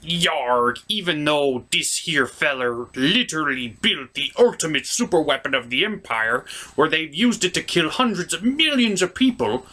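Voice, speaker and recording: A man's voice: fairly high pitch, pushed and bright, full character voice. A man playing a conflicted rebel sniper hero in a hammy, folksy, pirate-like drawl for a comedy.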